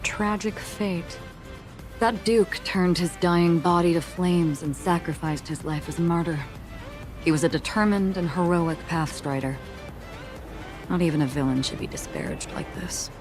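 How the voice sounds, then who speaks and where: A woman performs a mysterious female wanderer from a video game. Her voice sits low for a woman and stays calm, soft-spoken and reflective. Music plays faintly behind her. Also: moderate pace, close to an everyday voice. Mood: solemn, reproving.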